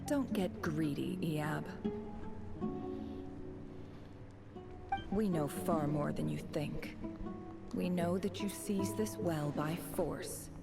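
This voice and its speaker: A woman playing a smooth, self-assured, scheming ambassador in a game cutscene, speaking in a measured, formal, condescending way. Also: deep voice, full character voice. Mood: coolly firm. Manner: measured, formal, persuasive, haughty. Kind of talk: scripted video game cutscene acting. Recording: loud music behind the voice. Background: loud game soundtrack music.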